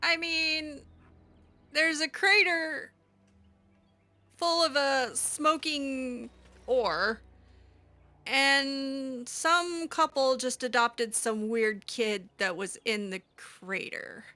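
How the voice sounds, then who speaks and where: A woman's voice: high voice, extremely slow, bright, forceful tone. A woman improvising in-character commentary on a game playthrough, theatrical, sarcastic and easily exasperated. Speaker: gamer roleplaying her character.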